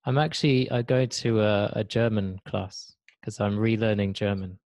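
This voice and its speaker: A man in his thirties from Switzerland hosts a casual video-call podcast in a low voice. He halts and repeats words as he feels his way through a point, and the audio is close and dry. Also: steady tone, a little mellow, no room sound. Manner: halting, repeats words as he searches. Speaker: podcast host. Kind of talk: casual conversation. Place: indoor room. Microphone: video call, desk mic.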